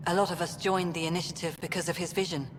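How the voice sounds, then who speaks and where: An actress playing a jaded, blunt and sardonic colony director in a video game, in a noisy, echoing space. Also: female voice, on the low side, fairly even intonation, quick pace, close to an everyday voice. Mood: guarded, weary. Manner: sardonic, terse. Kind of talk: video game dialogue acting.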